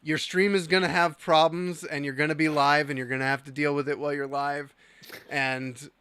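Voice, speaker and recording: A man's voice: a little high, flat delivery, moderate pace, sharp tone, clean tone, no room sound. A man co-hosting a casual podcast, earnestly giving advice on streaming with lots of fillers. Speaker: podcast co-host.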